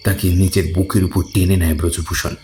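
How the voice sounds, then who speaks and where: A man reading scripted horror fiction as a narrator, measured and suspenseful. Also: low voice, level tone, mellow, faint music behind. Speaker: story narrator.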